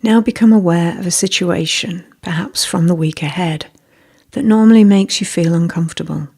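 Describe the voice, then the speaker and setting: A meditation teacher narrating a guided meditation in a slow, measured, gently instructive way, with a husky, breathy voice. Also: medium pitch. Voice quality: husky, breathy, with creaky fry.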